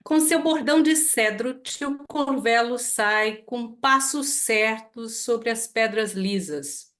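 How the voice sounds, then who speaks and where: A woman reciting a poem with measured, deliberate phrasing during an online reading. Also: a little high. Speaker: poetry reader and series co-curator. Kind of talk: poetry recitation. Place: video call.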